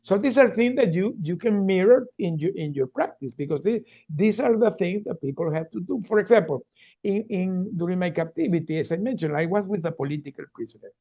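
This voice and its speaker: A man's voice: sits high, expressive, brisk, mellow, lo-fi sound. A man from Venezuela, telling his story earnestly as a keynote speaker and often restarting his words. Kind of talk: storytelling talk on a video call.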